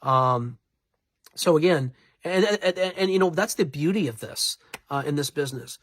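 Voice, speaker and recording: A man with a slightly high, nasal voice, stuffed up from congestion. He talks straight to his viewers in an informal advice style, wandering and rewording as he goes. The sound is dry, with hardly any room in it. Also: lively intonation, moderate pace, no room sound. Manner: rambling, self-correcting, repeats himself for emphasis. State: congested from allergies and sinus trouble. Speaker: voiceover artist giving advice.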